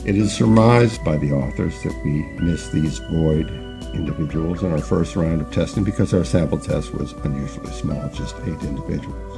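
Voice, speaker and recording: A man's voice: rumbling low, normal speed, creaky, over music. A man acting as an earnest, stubborn, idealistic neuroscientist in an audio drama. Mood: measured, formal. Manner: earnest, insistent.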